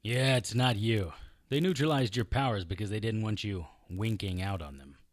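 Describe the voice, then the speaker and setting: An actor playing a wry, unflappable private investigator in an audio drama, narrating and talking in a deadpan, sardonic way. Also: male voice, fairly low pitch, normal speed, no echo, clean studio sound, close to an everyday voice. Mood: wry, unruffled. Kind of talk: hard-boiled detective audio drama acting with first-person narration.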